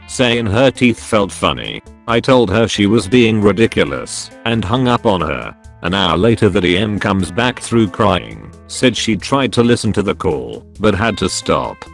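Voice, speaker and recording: A man reading first-person written stories aloud as a scripted narrator. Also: lowish voice. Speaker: narrator reading online forum posts.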